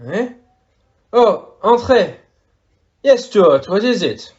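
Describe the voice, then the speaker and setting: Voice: mid-range voice, expressive, takes it slowly, darkish tone, dull audio, a little hiss or hum. A performer in an audio play acting a fussy, courteous, astute male detective with a French accent and formal, exclamatory manner. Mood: curious, startled.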